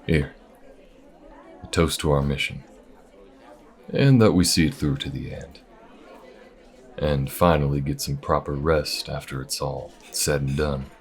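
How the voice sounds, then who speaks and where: Man acting as a very deep-voiced folksy space bounty hunter. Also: fairly even intonation, gravelly, some chatter behind, exaggerated voice. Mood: warm resolve. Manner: folksy, unhurried, reassuring. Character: folksy, loyal male space bounty hunter, steady and protective. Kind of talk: intimate audio roleplay acting.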